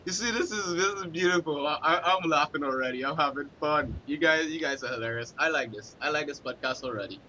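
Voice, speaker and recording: A man's voice: a little high, fairly quick, hardly any pauses, pushed and bright, slight background noise, poor audio. A man hosting a casual podcast, rambling with many ums and restarts. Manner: rambling, lots of fillers and restarts. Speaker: podcast host. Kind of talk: casual chat.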